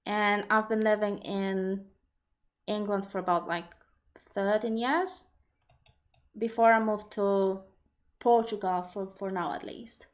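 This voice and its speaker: A woman's voice: mid-range voice, fairly even intonation, slow, long pauses. Woman, Polish accent, non-native English; livestream host, reflective and explanatory, computer mic. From Poland. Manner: thoughtful, explanatory, reads viewer questions aloud. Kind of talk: live Q&A talk.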